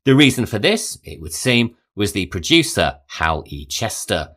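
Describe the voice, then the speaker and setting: A man hosting a podcast, reading a scripted film history in a measured, storytelling way. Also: fairly low pitch, big pitch swings, a bit bright. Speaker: podcast host. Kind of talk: scripted narration.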